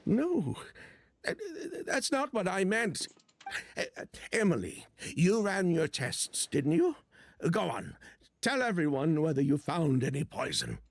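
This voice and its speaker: A gravelly, defensive businessman. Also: fairly high pitch, wildly swooping pitch, unhurried, full character voice. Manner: defensive, dismissive, self-justifying. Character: defensive middle-aged perfume businessman.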